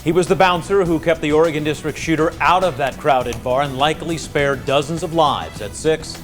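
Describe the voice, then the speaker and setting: A man's voice: fairly high pitch, moderate pace, fairly bright tone. American man anchoring news over faint music. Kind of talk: scripted broadcast news.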